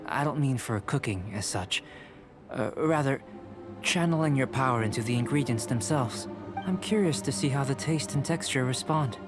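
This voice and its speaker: A man voicing a calm, polite, bookish young alchemist in a video game, measured and formal. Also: mid-range voice, lightly acted. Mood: calm, curious. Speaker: video game character actor. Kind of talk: scripted game voice acting. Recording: music behind the voice.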